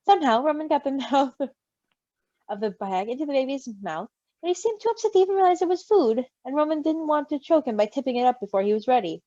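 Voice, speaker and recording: Female story reader, high-voiced and very expressive, informal, recorded dry and a little lo-fi. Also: fast talker, no room sound. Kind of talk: animated story reading with casual asides.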